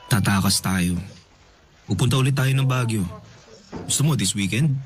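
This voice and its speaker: A man's voice: fairly low pitch, normal speed, natural voice. An actor playing a caring young man who reassures his girlfriend over the phone in a radio drama. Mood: tender. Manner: gentle, reassuring, animated. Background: loud dramatic music.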